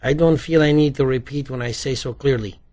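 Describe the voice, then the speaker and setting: A man's voice: medium pitch, a little fast, darkish tone, close to an everyday voice. A man voicing a slippery, talkative immigrant bookie with a light Polish accent, evasive and chatty, in an audiobook. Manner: evasive, chatty, questioning tags.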